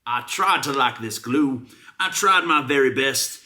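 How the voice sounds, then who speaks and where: A man's voice: medium pitch, lively intonation, unhurried, sharp tone. A man with a Southern US accent co-hosting a comedy show, mixing casual banter with theatrical, mock-serious readings of product reviews.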